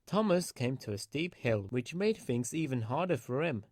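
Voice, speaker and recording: A man's voice: medium pitch, very animated, moderate pace, dry recording, studio quality. A man reading a children's story aloud as scripted narration.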